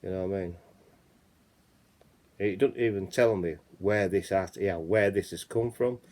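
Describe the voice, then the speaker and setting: A man's voice: sits low, measured pace, a little mellow. A man with a Yorkshire accent hosting a casual chat channel, reading out reports and rambling, often saying "you know what I mean". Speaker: channel host.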